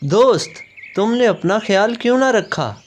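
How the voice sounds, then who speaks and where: A man's voice: sits high, animated, a little slow. A man voicing an earnest, emotive young male bird friend in a children's cartoon. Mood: tender concern. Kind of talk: Hindi children's cartoon voice acting.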